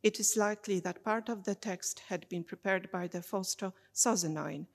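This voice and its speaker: A middle-aged woman from Poland, giving a measured, formal prepared reading to a church congregation as lay reader, slowing down carefully over names and dates. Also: mid-range voice, vocal fry. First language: Polish. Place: church sanctuary. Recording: long church reverb.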